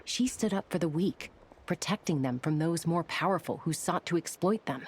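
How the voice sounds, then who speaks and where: A woman voicing a level-headed young scout in a video game, recounting her tribe's legends in a steady storytelling way. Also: sits low, normal speed, a little hiss or hum. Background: game soundtrack music.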